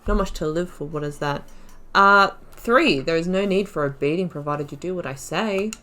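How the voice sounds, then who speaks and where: Female let's play host, pitch a bit low, informal running commentary mixed with read-aloud dialogue options. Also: normal speed, pushed and bright. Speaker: gaming video host.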